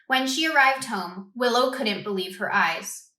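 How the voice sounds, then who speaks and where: A woman reads a children's picture book aloud with a bright, clear, slightly high voice. She tells the story expressively and stops to ask her young listeners questions. The voice echoes in a roomy space. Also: unhurried, a little hiss or hum. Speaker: read-aloud host for children. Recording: roomy echo.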